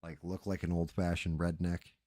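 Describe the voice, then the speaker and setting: A man's voice: low-pitched, flat delivery, normal speed, dark tone, no echo, studio quality. A middle-aged man chatting casually as a livestream host, crude and joking in a rambling, sweary way.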